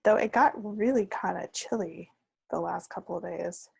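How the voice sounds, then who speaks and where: Female podcast co-host, husky voice with fry, wry and understated, casual delivery. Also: fairly low pitch, normal speed, no room sound. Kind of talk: casual chat.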